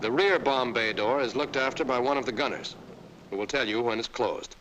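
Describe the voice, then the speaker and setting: A man reads a scripted training-film narration at a middle pitch. He is brisk and direct and talks straight to the viewer as "you" while he walks them through each step. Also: a bit bright, a little hiss or hum, poor audio.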